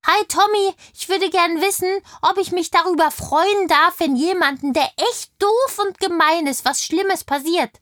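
A woman's voice: extremely high pitch, unhurried, few pauses, fairly bright tone, dry recording, studio quality. A woman voicing a chatty, indignant little kindergarten girl in a children's audio play, telling things with childlike eagerness. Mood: gleeful, questioning.

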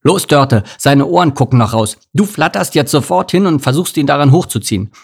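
A man voicing a gruff, good-hearted bear in a children's story, growly and blunt as he takes command. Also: animated, normal speed. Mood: urgent, commanding. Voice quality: deep, growly. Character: deep gruff bossy male bear, good-hearted rescuer.

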